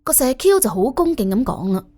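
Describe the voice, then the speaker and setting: 一個女人用粵語演繹有聲偵探小說，照稿講故事，做旁白。. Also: female voice, high-pitched, big pitch swings, a little fast, warm tone, soft background music. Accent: Cantonese. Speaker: audiobook narrator. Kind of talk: expressive scripted detective-story narration.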